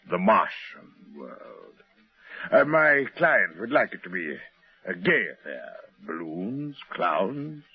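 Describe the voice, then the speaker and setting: A dull vintage radio recording holds a low-voiced, enigmatic gentleman. He speaks slowly and formally, precise and commanding, as if guarding a secret in a radio play. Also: husky, heavily acted. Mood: measured, exacting. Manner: formal, deliberate, portentous phrasing. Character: mysterious, secretive male client, precise, suave and commanding. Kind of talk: old time radio drama acting.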